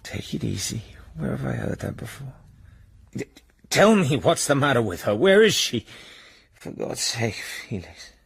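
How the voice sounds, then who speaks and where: An actor playing a wounded, weary secret agent in a radio drama, halting, groggy and stammering. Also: male voice, mid-range voice, very animated, gravelly, husky, no echo, full character voice. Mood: anxious, pleading.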